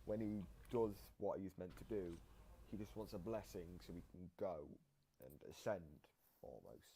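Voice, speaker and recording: A man's voice: low voice, animated, moderate pace, gravelly, mellow, a little hiss or hum, poor audio. A man giving casual explanatory commentary, piecing his thoughts together as he explains. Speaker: co-host explaining game lore.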